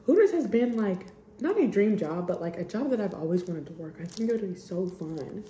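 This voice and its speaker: A woman's voice: mid-range voice, animated, a little fast, warm tone, slight background noise. A young woman in her twenties sniffling a little while she chats in a candid, rambling way as a podcast host. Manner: rambling, candid, full of "like" and "I just". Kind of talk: casual personal chat. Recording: echoey room.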